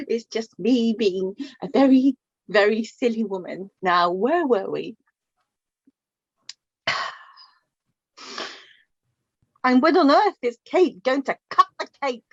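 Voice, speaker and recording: Hesitant, high-voiced middle-aged mother played by an actress. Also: breathy edge, no echo, muffled recording, heavily acted. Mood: resigned, fussy. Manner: hesitant, halting, repeating words, sighing. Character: gentle, flustered middle-aged widowed mother of the bride. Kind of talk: naturalistic radio drama dialogue.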